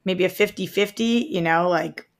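A woman with a fairly low voice and heavy vocal fry co-hosts a film chat. She shares her opinions casually and with enthusiasm, as in a relaxed conversation. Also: normal speed. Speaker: co-host of a movie discussion show.

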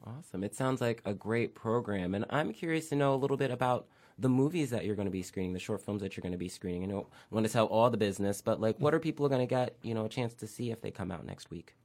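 A man's voice: lowish voice, fast talker, a little mellow. A man hosting a radio talk show who interviews a guest with upbeat enthusiasm.

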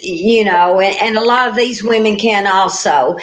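A woman's voice: medium pitch, normal speed, poor audio. A woman, talking in a casual panel chat as a guest angler, plainspoken, folksy and determined.

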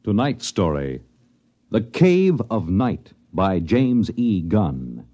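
A man's voice: on the low side, sing-song, takes it slowly, muffled recording, a little hiss or hum. A man announcing a 1950s radio drama series in a portentous, theatrical style. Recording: old radio broadcast over loud music.

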